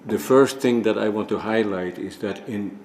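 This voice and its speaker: Low-voiced man speaking in an echoey hall. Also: darkish tone, a little hiss or hum. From Netherlands. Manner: measured, with frequent restarts. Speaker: conference speaker. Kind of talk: formal presentation. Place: conference room. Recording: echoey hall with faint ambience.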